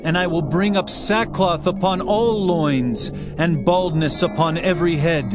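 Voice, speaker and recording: Male scripture narrator, high register, solemn and declamatory, with clear musical underscore. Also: unhurried, over music. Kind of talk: dramatized scripture reading.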